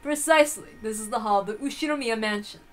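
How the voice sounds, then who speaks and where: A woman's voice: on the high side, fast, soft background music, voice pushed down, natural voice. A woman voicing a haughty elder-sister witch with an arrogant, formal way of speaking in a visual novel reading. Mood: proud, scornful. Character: haughty, self-proclaimed elder-sister golden witch, female.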